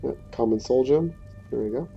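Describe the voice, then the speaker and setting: A man giving casual let's play commentary in a hesitant, low-key, conversational way. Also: moderate pace, creaky. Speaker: gaming video creator. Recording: dull muffled audio, faint music behind. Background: faint game music.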